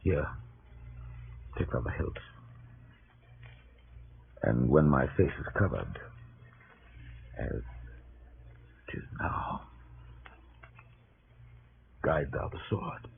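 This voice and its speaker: An actor playing a weary, defeated Roman commander resolved to die, grave and theatrical in 1930s radio Shakespeare style. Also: male voice, extremely low pitch, animated, very slow, vocal fry, pauses often, heavily acted. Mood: resigned, grave. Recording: tinny, noisy 1930s radio recording.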